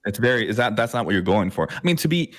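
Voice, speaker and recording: A man with a fairly low voice hosts a casual hobby podcast. He speaks in an easygoing, affirming way, often restarting his sentences. The recording is dry and close. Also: sing-song, fast talker, fairly bright tone, no room sound. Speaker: podcast host.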